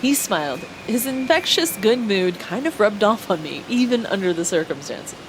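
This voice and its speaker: A woman's voice: medium pitch, big pitch swings, normal speed, noisy background. A woman narrating a first-person audio drama expressively, acting out her own lines as she goes. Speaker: author and narrator of an audio story.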